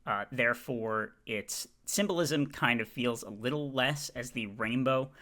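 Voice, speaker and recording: A man with a bright, forward voice at a middling pitch talks casually. He stops and starts often, filling the gaps with drawn-out "uh"s as he works through a ranking. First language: English. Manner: halting, many "uh" fillers and restarts. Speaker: video creator ranking flags. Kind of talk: casual commentary.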